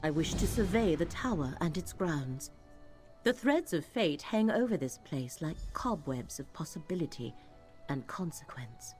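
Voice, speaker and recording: A woman acting a solemn, mystical companion in a fantasy video game, speaking in a formal, measured, cryptic way. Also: sits low, very animated, a little mellow. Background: game music.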